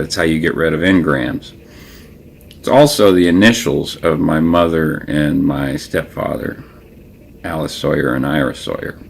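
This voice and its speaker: A man whose native language is Creole French, speaking in a reflective, unscripted personal monologue, halting and searching for words. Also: very deep voice, vocal fry, slight background noise. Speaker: personal documentary narrator.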